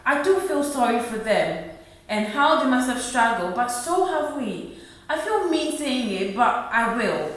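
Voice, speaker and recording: A woman's voice: on the high side, big pitch swings, measured pace, fairly bright tone. A woman playing a worried, poor wife and mother in a radio play, earnest and reproachful. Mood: pleading. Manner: earnest, reproachful, repeating her husband's name. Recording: very echoey room.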